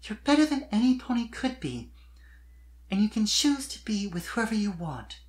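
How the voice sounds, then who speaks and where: A performer voicing a sweet, shy but earnest young female pony in a fan fiction reading, soft-spoken and tentative. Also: medium pitch, very animated, normal speed, voice pushed up, natural voice. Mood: tender, assured.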